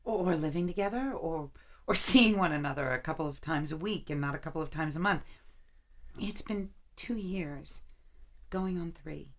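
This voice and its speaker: Woman in love, lowish pitch, anxious, hesitant, repeats words, naturalistic radio-play delivery. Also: normal speed, a little hiss or hum. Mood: pressing, frustrated. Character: anxious, lovelorn woman pleading with a distant boyfriend. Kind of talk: naturalistic audio drama acting.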